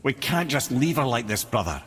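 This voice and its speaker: Lively storyteller companion. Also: male voice, medium pitch, moderate pace. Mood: wry. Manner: wry, talkative storyteller. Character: chatty, witty old storyteller, male advisor companion. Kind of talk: video game dramatic acting.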